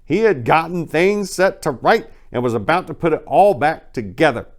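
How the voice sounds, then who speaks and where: A man's voice: fairly high pitch, expressive, moderate pace. A man reading fiction dramatically and talking to listeners in an emphatic, colloquial, folksy way. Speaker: author reading their own crime fiction, show host. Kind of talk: dramatic story reading and informal commentary to listeners.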